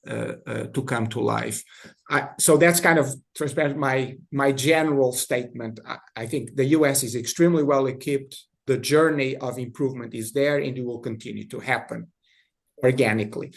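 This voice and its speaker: Brazilian-accented man with a gravelly voice. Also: mid-range voice. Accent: Brazilian Portuguese. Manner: halting, many restarts and repeated words. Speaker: industry guest. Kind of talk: panel discussion.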